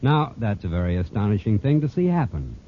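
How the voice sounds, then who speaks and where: A man's voice: lowish voice, big pitch swings, a little fast. A male radio announcer reading a scripted 1940s commercial in a persuasive, emphatic way. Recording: tinny, noisy vintage radio recording.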